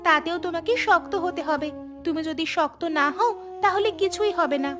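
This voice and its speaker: A woman voicing a caring young daughter in a cartoon, speaking in an earnest, gently firm way. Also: extremely high pitch, moderate pace, over music. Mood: reassuring, firm. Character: caring young unmarried daughter, practical and reassuring. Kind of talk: cartoon dialogue.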